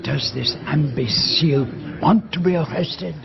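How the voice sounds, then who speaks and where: Precise foreign detective. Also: male voice, a little high, a little slow, vocal fry, lo-fi sound, crowd noise. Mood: conspiratorial. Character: shrewd, polite foreign detective, retired, confident and particular. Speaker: actor in radio drama. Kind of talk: radio drama dialogue.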